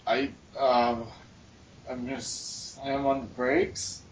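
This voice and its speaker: A man playing a tabletop game, eagerly announcing what his character does in halting bursts. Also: medium pitch, little pitch movement, recorded from a distance, muffled recording, a little hiss or hum. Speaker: player.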